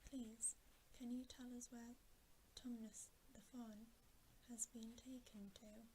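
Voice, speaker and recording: A woman's voice: on the high side, flat delivery, takes it slowly, warm tone, rough recording. A woman voicing an earnest, wondering little girl in a storybook, in a polite, trusting whisper. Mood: hopeful. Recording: faint background hiss.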